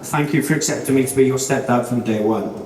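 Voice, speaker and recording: Groom giving a heartfelt speech, far off. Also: male voice, mid-range voice, fast talker, darkish tone, slight background noise. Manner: heartfelt with dry jokes. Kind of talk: wedding speech. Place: large reception room at a wedding. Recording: echoey hall, voice from far off.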